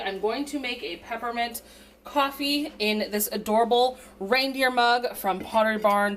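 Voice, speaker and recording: A young woman in her twenties chatting casually on a home vlog, her voice slightly raspy from dust, with a chatty, upbeat manner. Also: mid-range voice, fairly bright tone, slight background noise. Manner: chatty, upbeat, filler-heavy. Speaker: vlogger. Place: home, indoors. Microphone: camera propped up nearby.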